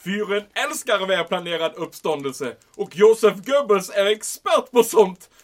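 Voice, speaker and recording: Pompous, high-pitched, animated German commandant. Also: male voice. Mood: gleeful, boastful. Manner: pompous, exclamatory, peppers speech with German words. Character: bombastic, excitable German military commandant in occupied Sweden. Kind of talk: comedic audio drama acting.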